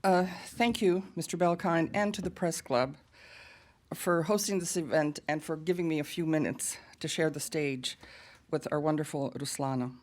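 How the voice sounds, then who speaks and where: A woman's voice: sits low, normal speed, darkish tone. An American woman giving an emphatic, earnest prepared speech at a press club podium. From United States. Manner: earnest and emphatic, with pointed asides. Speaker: guest speaker at a press event.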